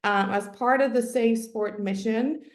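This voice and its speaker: A woman's voice: on the high side, a little slow. A woman whose first language is Finnish gives a sports psychology webinar talk, explaining step by step with frequent ums and restarts. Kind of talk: webinar presentation. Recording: roomy echo.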